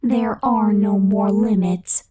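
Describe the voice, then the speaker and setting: A woman voices an all-powerful, possessive digital entity for ASMR roleplay. Her tone is dark and breathy, hushed and unhurried, as if lulling a favorite toy. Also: mid-range voice, fairly even intonation, soft background music. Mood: darkly triumphant.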